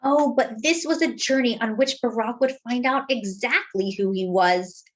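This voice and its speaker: A woman reading a children's picture book aloud, with dramatic, deliberate phrasing and drawn-out words. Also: fairly high pitch, lo-fi sound. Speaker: children's story reader.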